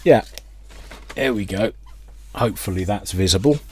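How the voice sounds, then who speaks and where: Deep, husky British man. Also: animated, normal speed, darkish tone. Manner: hesitant, unscripted, thinking aloud. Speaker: radio restoration hobbyist presenting a workbench video. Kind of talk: informal technical explanation at the workbench. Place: indoor workbench, close dry sound.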